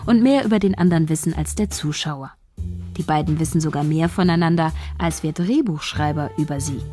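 A shy young scriptwriter turned bitter narrates her thoughts, as an actress plays her. Her voice sits low and mellow, with sardonic bite. Faint music runs beneath this radio play. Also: brisk, close to an everyday voice. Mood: wry. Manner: sardonic, resentful inner voice. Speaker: narrator and protagonist.

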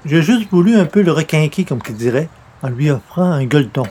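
A man's voice: on the high side, animated, brisk, dark tone, slight background noise, natural voice. A man playing a genial, bumbling newspaper columnist in a radio comedy, hesitant and coaxing. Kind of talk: old-time radio comedy acting.

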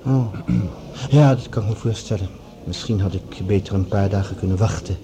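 A man's voice: lowish voice, normal speed, natural voice. A man playing a courteous, formal host in a radio play, urbane and gracious. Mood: gracious, regretful. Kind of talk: Dutch radio play acting. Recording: radio drama with party chatter behind.

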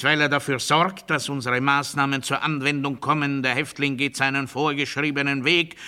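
An actor playing a pompous, paranoid new ruler in a radio play monologue, declaiming to his people in a sharp, forceful, theatrical voice that moves between proclamation and confiding. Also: fairly high pitch. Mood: stern, self-assured. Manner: declamatory oratory, swinging from loud proclamation to hushed confiding.

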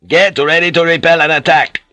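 A man's voice: mid-range voice, normal speed, bright, forceful tone, no room sound, pitched above the performer's own voice, natural voice. A man voicing an enraged, proud captain general of a warship, snarling and barking orders in an audiobook story. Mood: shouting orders.

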